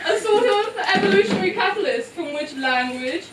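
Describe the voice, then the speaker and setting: A woman voicing a mischievous, boastful little mushroom puppet in a theatrical, drawn-out way for a live comic puppet show. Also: very high voice, expressive. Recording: roomy, distant, noisy live capture.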